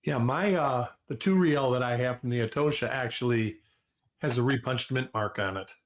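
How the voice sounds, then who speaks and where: A man co-hosts a casual chat, chiming in with friendly, teasing remarks. His voice sits at an ordinary middle pitch, and his delivery is relaxed. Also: normal speed.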